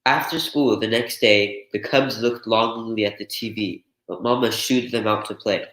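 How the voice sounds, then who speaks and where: A man's voice: lowish voice, moderate pace, fairly bright tone. A man reading a children's picture book aloud as a storyteller. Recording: echoey room.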